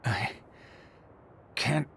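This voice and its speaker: A man playing a mortally wounded middle-aged chairman in a video game, speaking weakly and haltingly, guarded, with a gravelly voice. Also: pushed and bright, a little hiss or hum. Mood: weak, resigned. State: dying, weak.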